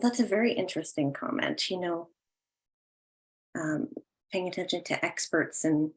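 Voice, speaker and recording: A woman hosting a virtual question and comment session, encouraging and affirming as she talks, hesitantly searching for words. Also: low voice. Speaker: host of an online audience discussion. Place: online session, remote call.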